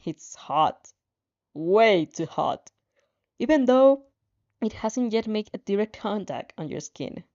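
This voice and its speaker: A woman reading a story aloud dramatically in the second person, expressive and informal, sometimes tripping over her words. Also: medium pitch, slow pace. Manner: expressive, stumbles over words and giggles at slips. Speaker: fan fiction reader and reactor. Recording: dull lo-fi sound.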